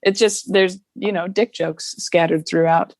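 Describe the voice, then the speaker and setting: A woman's voice: fairly low pitch, fairly even intonation, a little slow, dry recording. A woman comedian chatting casually as a podcast guest over a video call, laughing often between her points. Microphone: remote video call mic.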